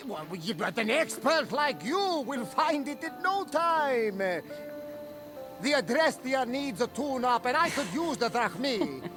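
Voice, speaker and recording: Old seafarer, hearty coaxing manner, very high animated pitch, slow delivery, video game. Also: male voice, exaggerated voice. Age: older. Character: boisterous, treasure-hungry old Greek sailor, loyal friend. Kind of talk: video game cutscene acting. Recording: loud music behind the voice. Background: music.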